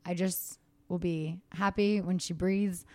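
A woman's voice: on the low side, steady tone, slow, a little mellow, no echo. A pregnant woman hosting a podcast, chatting candidly as she answers fan questions. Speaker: podcast host. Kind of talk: casual chat.

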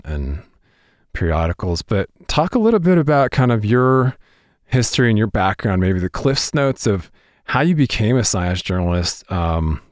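A man with a very deep voice hosts a podcast interview, asking long, enthusiastic questions full of fillers. The recording is dry and close, with no room sound. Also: no echo. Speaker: podcast host.

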